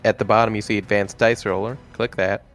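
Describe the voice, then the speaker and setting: A man's voice: lowish voice, level tone, a little fast. A man in a tabletop gaming group, talking casually to the other players. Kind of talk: casual chat. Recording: music under the voice. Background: music.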